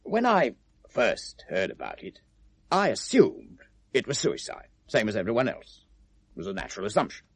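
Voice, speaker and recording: An actor playing an evasive, self-justifying British gift-shop owner in 1970s radio drama, talking in a hesitant, defensive, chatty way. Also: male voice, medium pitch, huge pitch swings, normal speed, creaky, husky, heavily acted. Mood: grudging, explaining. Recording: vintage radio drama recording.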